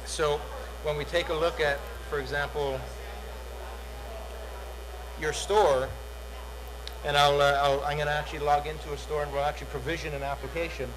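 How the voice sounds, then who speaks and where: A middle-aged man giving a software demo on a conference stage, talking in a salesy way with many fillers and repeated words. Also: a little high, level tone, moderate pace, long pauses, a crowd in the background, low-quality recording. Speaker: product demo presenter. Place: large dark conference hall, on stage.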